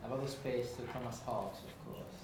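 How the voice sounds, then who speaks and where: A man's voice: mid-range voice, normal speed. A man acting as session chair at an academic conference, making brief moderating remarks. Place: indoor university conference room. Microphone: distant room microphone. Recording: very noisy, echoey room from far off.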